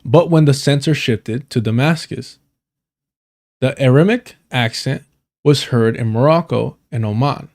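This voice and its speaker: A man's voice: mid-range voice, measured pace, a little mellow. Man reading an article on stream. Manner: stumbling reader who corrects himself and apologizes. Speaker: livestream host. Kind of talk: reading an article aloud on a livestream.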